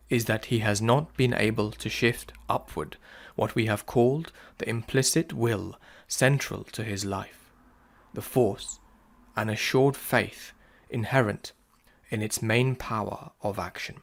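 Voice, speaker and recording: A man with a fairly low voice and a strong gravelly creak reads philosophical prose aloud. The delivery is solemn and measured, with declamatory weight placed on key words. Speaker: reader. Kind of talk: solemn scripted reading of philosophical writings.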